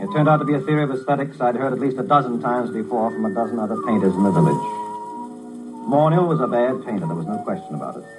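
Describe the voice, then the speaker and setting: An actor playing a wry, tolerant struggling writer, narrating and talking in a dry conversational way in a 1950s radio drama. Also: male voice, medium pitch, expressive, quick pace, loud music behind the voice, lightly acted, low-quality recording. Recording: old 1950s radio broadcast.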